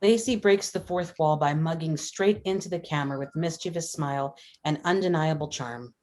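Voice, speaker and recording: A woman with a deep-set voice narrates a screenplay. She reads scene headings and action lines as the stage-directions reader at a table read. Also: moderate pace, darkish tone.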